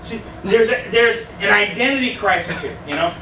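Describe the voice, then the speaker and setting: A youth pastor in his thirties talks with a stuffed-up nose in a high voice, chatting and quizzing his listeners. The voice comes from a distant camera in an echoey room, with a steady hiss underneath. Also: moderate pace. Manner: conversational, asks the audience questions, gestures widely. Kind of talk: casual youth sermon. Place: small meeting room with a youth group. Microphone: far camera, no mic.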